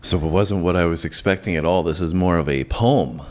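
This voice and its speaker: A man's voice: deep voice, a little fast, a little mellow. A young man co-hosting a music reaction video, chatting casually and rambling with fillers. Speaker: co-host of a music reaction channel. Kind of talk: casual chat.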